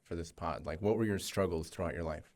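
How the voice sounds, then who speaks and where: A man's voice: low-pitched, fairly quick, darkish tone. An American man hosting a podcast, chatting casually as he interviews a guest. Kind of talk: casual chat.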